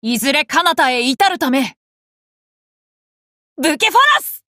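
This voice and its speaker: A boyish young warrior king for an anime game, confident and curious. The voice is high and bright, with unhurried, courteous phrasing. It sits close and dry over game music. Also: animated, dry recording, heavily acted. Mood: heroic, commanding. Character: eager, polite boy king and mounted swordsman. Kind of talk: Japanese anime game battle voice lines.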